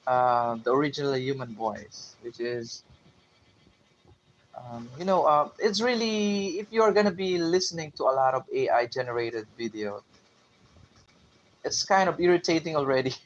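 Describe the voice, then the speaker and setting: A man's voice: medium pitch, normal speed. A man from the Philippines with a Filipino English accent, talking casually to his channel viewers, with many fillers and restarts. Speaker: YouTube channel host. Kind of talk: casual unscripted talk to camera. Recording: dull lo-fi recording with faint room noise.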